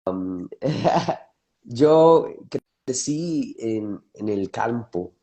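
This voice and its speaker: A man's voice: medium pitch, lively intonation, extremely slow, mellow. A man from Colombia with a Colombian accent, a pastor speaking thoughtfully in a panel discussion and sharing his testimony.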